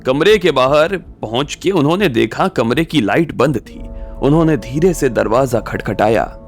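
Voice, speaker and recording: Medium-pitched male story narrator. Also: expressive, measured pace. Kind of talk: scripted audio story narration. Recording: music bed behind the voice.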